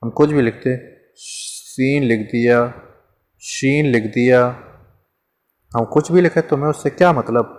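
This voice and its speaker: Male teacher, mid pitch, chatty lesson delivery with rhetorical questions and lots of repetition. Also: a little slow, pauses often, a little mellow. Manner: conversational, repeats examples, asks and answers himself. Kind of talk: informal grammar lesson.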